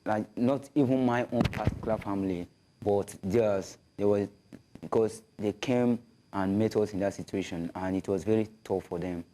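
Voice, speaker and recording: A man's voice: on the low side, moderate pace, mellow, slight background noise, rough recording. A twenty-year-old man giving earnest advice in an interview. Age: 20s. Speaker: rescued youth interviewee.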